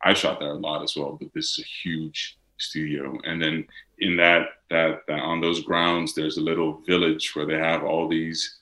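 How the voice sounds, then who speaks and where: Dutch-native male actor, low voice, crisp bright edge, loose interview chat. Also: moderate pace, roomy sound, low-quality recording. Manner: relaxed, rambling, with many fillers.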